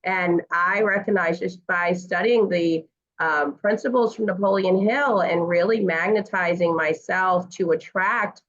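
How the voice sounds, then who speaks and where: A woman's voice: fairly low pitch, a little slow, muffled recording. A woman speaking as a guest parent coach in a conversational interview, earnest and encouraging, with fillers.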